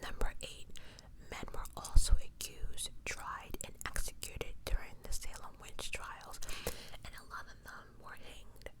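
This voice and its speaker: A woman whispering true-crime ASMR, reading and adding opinionated, slangy, conversational asides as she goes. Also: unhurried. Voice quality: pure unvoiced whisper. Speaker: ASMR host.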